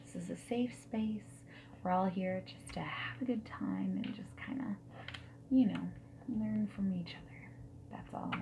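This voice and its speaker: A woman speaking softly and breathily in an ASMR roleplay, often slipping into whispers as she asks gentle, reassuring questions like a friendly interviewer. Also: on the low side, vocal fry. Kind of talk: soft-spoken ASMR roleplay. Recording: steady noise, keyboard typing and clicks. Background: mechanical keyboard typing and clicks.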